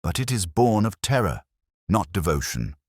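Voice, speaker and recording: A man's voice: deep voice, very animated, normal speed, slightly rough, no room sound, voice pushed up, heavily acted. A man voicing a cerebral detective who speaks in a grave, didactic way with formal Victorian phrasing. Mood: grim.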